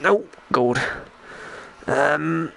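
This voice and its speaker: Man putting on a rambling 1920s English gentleman. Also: on the high side, expressive, very slow, vocal fry, exaggerated voice, low-quality recording. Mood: bumbling, hesitant. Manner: old-fashioned posh phrasing, rambling, hesitant, repeats himself. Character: genteel bumbling 1920s English gentleman, prim and easily scandalised. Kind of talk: in-character live stream chat while gaming.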